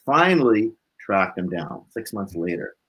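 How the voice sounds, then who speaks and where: Husky, low-voiced man telling stories. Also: unhurried, poor audio. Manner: animated anecdotal telling, acting out dialogue, tagging phrases with "right?". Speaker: interview guest, blues musician. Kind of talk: casual personal storytelling.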